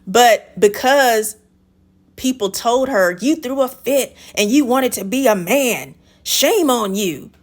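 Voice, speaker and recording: A woman's voice: fairly high pitch, lively intonation, slow pace. A woman hosting a reaction video, commenting on a clip in an emphatic, lecturing way and addressing the guest directly.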